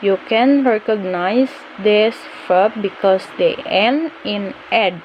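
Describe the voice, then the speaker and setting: Young female student presenter, drawn-out and halting slide reading, slight background noise. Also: medium pitch, muffled recording. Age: young adult. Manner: halting, broken phrasing. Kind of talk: scripted lesson narration, reading slides.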